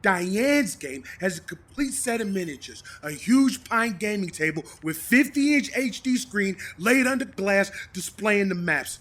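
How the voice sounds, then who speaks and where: A man's voice: high voice, lively intonation, measured pace, hardly any pauses, fairly bright tone, heavily acted. A man acting a pompous, complaining tabletop gamer who plays a boastful paladin in a comedy audio drama. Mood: indignant complaining.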